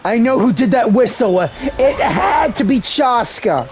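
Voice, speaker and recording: A man's voice: extremely high pitch, expressive, a little slow, a little hiss or hum. A man doing playful live voice-over for a video game, theatrical and exclamatory. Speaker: gaming video creator voicing over game dialogue.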